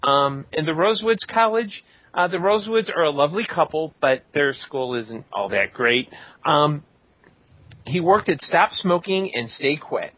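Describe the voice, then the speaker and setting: A man with a slightly high voice hosts a podcast, reading shout-outs in a casual, joking style. The recording is a little dull and lo-fi. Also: animated, measured pace, dry recording. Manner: joking, tongue-in-cheek. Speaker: podcast host.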